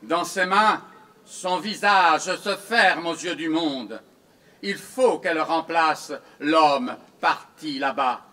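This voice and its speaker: A man reciting his own commemorative poem in a solemn, theatrical, declamatory style with measured phrasing. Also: fairly high pitch, bright, forceful tone.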